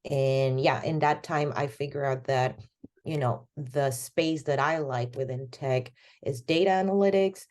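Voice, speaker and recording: Guest, woman, 30s, from Venezuela; low, warm voice, loose rambling delivery. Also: measured pace. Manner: rambling, many fillers like "like" and "you know". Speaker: podcast guest. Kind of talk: casual conversational interview.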